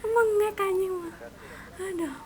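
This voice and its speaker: A woman chatting casually and excitedly as she plays a game with friends, giggly and full of slang. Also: extremely high pitch, slow pace. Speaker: streamer and roleplay gamer. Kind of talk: casual gameplay chat with friends. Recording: noisy game voice chat.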